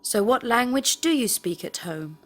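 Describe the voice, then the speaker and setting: A woman's voice: on the high side, lively intonation, normal speed. A woman in the role of a language teacher, reading scripted questions with careful phrasing. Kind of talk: scripted question drill, read aloud.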